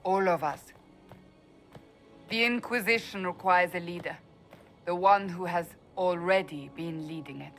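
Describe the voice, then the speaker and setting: A firm, earnest advisor. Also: female voice, lowish voice, unhurried, pauses often, a bit bright. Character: resolute woman advisor of a holy order. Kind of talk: video game cutscene dialogue. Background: faint game music.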